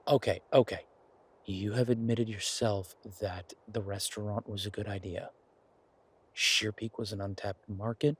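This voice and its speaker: A man playing an affectionate, eager boyfriend in an audio roleplay, talking in a casual, halting, coaxing way with a husky voice. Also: on the low side, fairly even intonation. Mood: coaxing, defensive. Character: affectionate, eager boyfriend pitching grand ideas to his werewolf girlfriend.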